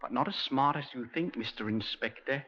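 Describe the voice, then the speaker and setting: A man's voice: mid-range voice, a little fast, fairly bright tone, lightly acted. Casting: male actor as young petty crook, insolent and evasive, slangy, vintage radio crime drama, dull old recording. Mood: cocky, wary. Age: young adult. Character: cocky, wary young male ex-borstal crime suspect. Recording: muffled 1950s radio recording.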